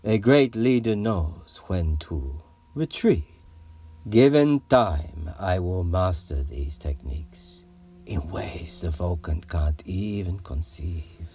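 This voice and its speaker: A man's voice: low-pitched, animated, slow, warm tone, slightly rough, exaggerated voice. A man playing a proud, cold, genetically superior warlord in an audio comic, speaking in a formal, grandiose manner. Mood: imperious. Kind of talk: dramatic audio comic acting.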